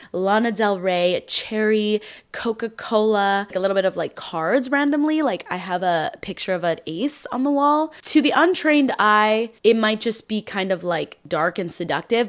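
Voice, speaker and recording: A woman in her twenties hosting her own podcast, talking casually and chattily with many fillers. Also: mid-range voice. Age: 20s. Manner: chatty, bubbly, lots of "like" fillers. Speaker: podcast host. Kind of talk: casual solo podcast chat.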